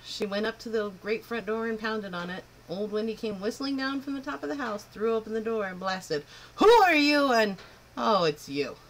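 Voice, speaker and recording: A woman reading a picture book aloud to children in an expressive, lilting way. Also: medium pitch, brisk, few pauses, a little hiss or hum, poor audio. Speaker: children's storyteller. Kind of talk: storybook read-aloud.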